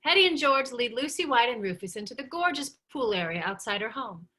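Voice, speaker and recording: A woman's voice: a little high, sing-song, a little fast, bright, forceful tone. A woman reading screenplay stage directions as scripted narration.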